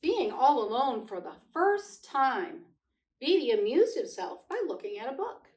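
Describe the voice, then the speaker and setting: A middle-aged woman reading aloud to children as a host, with gentle, expressive delivery. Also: high-pitched, measured pace. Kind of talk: storybook read-aloud with casual chat. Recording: echoey room, distant microphone.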